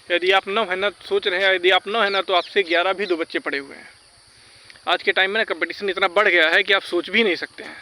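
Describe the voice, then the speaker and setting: A man speaks in a high, sharp-edged voice, as a creator advising students. His delivery is informal and insistent, circling back over warnings. Faint outdoor noise sits behind him. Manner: insistent, cautionary, repeats points. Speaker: exam-advice video creator.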